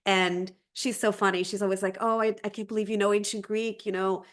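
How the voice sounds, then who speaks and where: A woman's voice: mid-range voice, little pitch movement, fairly quick. Woman teacher explaining in conversation. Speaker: Ancient Greek teacher, interview guest.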